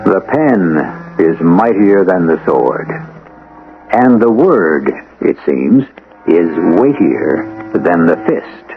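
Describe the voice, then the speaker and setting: Casting: male mystery narrator, wry and theatrical, gravelly low voice with sweeping inflection, on vintage radio. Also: big pitch swings, narrow, tinny audio. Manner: wry, deliberate, dramatic. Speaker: host and narrator. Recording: band-limited old radio broadcast, music behind.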